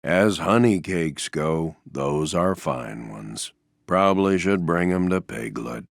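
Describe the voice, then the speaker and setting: Gloomy old toy donkey for a video game, super low gravelly drawl, deadpan n resigned. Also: male voice, no room sound, studio quality, exaggerated voice. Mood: glum deadpan. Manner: slow, glum, deadpan, understated, trailing off. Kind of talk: video game character voice lines.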